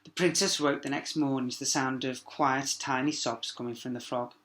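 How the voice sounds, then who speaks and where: A man's voice: medium pitch, steady tone, moderate pace, fairly bright tone, slight background noise. A man narrating a children's story expressively as a teacher, with small asides to young listeners.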